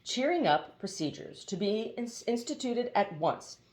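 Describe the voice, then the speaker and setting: A woman's voice: a little high, big pitch swings, measured pace, a little mellow, voice pushed up. A woman voicing an indignant talking hoopoe bird that gives officious, emphatic orders in a storybook. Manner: emphatic, officious, clipped commands.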